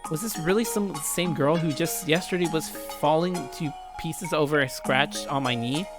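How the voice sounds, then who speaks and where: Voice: mid-range voice, moderate pace, music in the background. A let's player reading a visual novel aloud in a dramatic way, acting out the dialogue and adding asides. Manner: dramatic, acts out dialogue lines.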